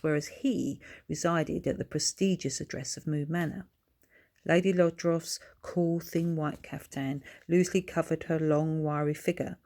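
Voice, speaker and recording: Female author-narrator for a children's book, slightly low voice, measured scripted storytelling. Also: darkish tone.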